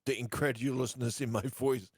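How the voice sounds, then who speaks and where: A man's voice: medium pitch, steady tone, moderate pace, breathy edge, dry recording, clean studio sound. A man playing a tabletop game who stammers and repeats words as he asks questions. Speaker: player. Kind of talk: casual game chat.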